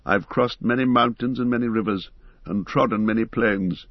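A man reading the part of a grave, weary heir of kings, speaking calmly and earnestly. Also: on the low side, moderate pace, dry recording, dull audio, natural voice. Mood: dignified. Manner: calm, dignified, earnest.

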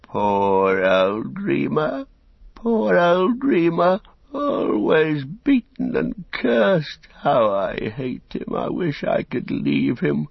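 A man's voice: a little high, wildly swooping pitch, very slow, vocal fry, slightly rough, no room sound, highs cut off, pitched above the performer's own voice, exaggerated voice. A man voicing a cringing, beaten beggar in an audiobook, with a thin, strained whimper and wailing self-pity. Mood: whimpering misery. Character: whining, cringing, beaten male beggar, wretched and self-pitying.

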